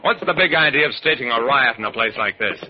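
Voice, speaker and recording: Male actor as tough wry male detective, mid-range voice, deadpan narration, old radio crime show, muffled recording. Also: medium pitch, fairly quick, slight background noise, natural voice. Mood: firm. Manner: deadpan, wisecracking. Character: hard-boiled male detective, wry and commanding.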